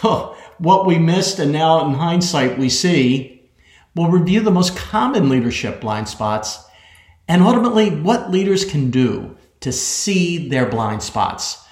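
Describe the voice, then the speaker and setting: A man reading a scripted podcast introduction in an upbeat, inviting way. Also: a little high. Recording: roomy echo.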